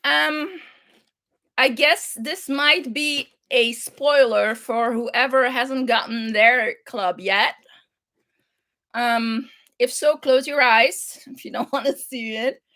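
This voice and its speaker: Slow-talking higher-pitched woman, playful chat. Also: pushed and bright. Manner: unhurried, halting, searching for English words; playful, giggly. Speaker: craft live-stream host. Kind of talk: casual live-stream chat.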